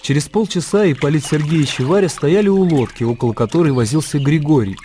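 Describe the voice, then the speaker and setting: Мужчина читает литературное повествование от автора в радиоспектакле. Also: male voice, fairly high pitch, fast talker, warm tone, faint music behind. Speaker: narrator. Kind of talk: scripted literary narration in radio drama.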